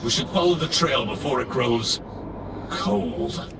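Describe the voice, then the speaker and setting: An actor playing a grim, resolute undead knight commander in a fantasy video game, solemn and commanding. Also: male voice, mid-range voice, slow, distant mic, heavy background noise, close to an everyday voice. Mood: watchful. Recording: game music loud behind.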